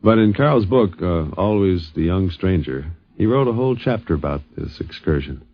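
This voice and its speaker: A man's voice: sits low, expressive, normal speed, no echo. An American man hosting a 1950s radio documentary, narrating as a conversational storyteller. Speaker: radio host. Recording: dull 1950s radio recording.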